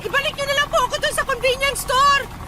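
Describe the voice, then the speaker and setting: A woman's voice: very high voice, steady tone, fast. An actress playing a polite young Filipino woman in a radio drama, scared and pleading. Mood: anxious, alarmed.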